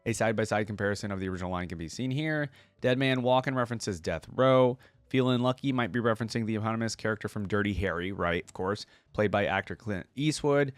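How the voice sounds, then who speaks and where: A man's voice: lowish voice, quick pace, no room sound, soft background music. A man giving casual, musing reaction commentary as the host of a video.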